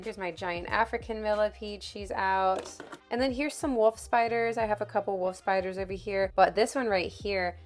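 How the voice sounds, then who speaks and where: Woman vlogger, a touch hoarse, eager and chatty while showing off her pets, mid-range voice over faint music. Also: mid-range voice. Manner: chatty and enthusiastic, with little laughs. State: slightly hoarse, recovering voice. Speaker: pet and spider keeper giving a room tour. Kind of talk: casual home tour vlog.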